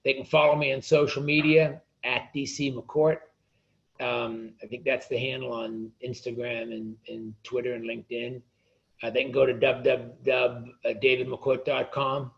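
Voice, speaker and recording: A man's voice: mid-range voice, little pitch movement, normal speed, creaky, highs cut off. A man being interviewed as an author, speaking indignantly and often restarting his sentences. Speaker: author and guest. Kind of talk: interview conversation.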